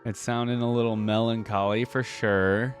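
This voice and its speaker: Low-voiced man casually reacting, chatty. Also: flat delivery, normal speed, faint music behind. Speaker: reaction video host.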